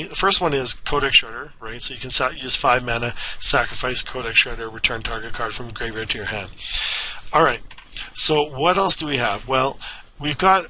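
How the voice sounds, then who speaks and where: A man with a raspy, hoarse voice from a lingering cough, casually explaining a card game deck as a channel host, with frequent "okay" and "right" check-ins. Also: medium pitch, sharp tone, a little hiss or hum, rough recording. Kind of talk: casual deck explanation over screen capture.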